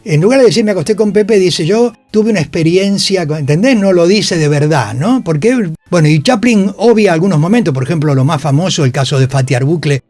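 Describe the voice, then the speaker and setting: An Argentine man tells stories in a radio interview with an emphatic delivery, repeating phrases to drive them home and cursing freely. His voice sits a little high, recorded dry and close with faint music underneath. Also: animated, a little fast, darkish tone, dry recording. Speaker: interview guest, actor. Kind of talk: animated storytelling in a radio interview. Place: radio studio.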